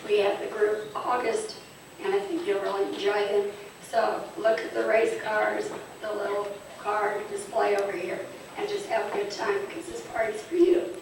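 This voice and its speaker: A hoarse woman presenting awards at a fan club banquet, chatting informally and a little haltingly to the crowd. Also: mid-range voice, background noise. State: losing her voice, hoarse. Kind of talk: speaking to a banquet crowd over a PA. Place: large banquet hall. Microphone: PA microphone recorded from a distance. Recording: distant echoey banquet hall.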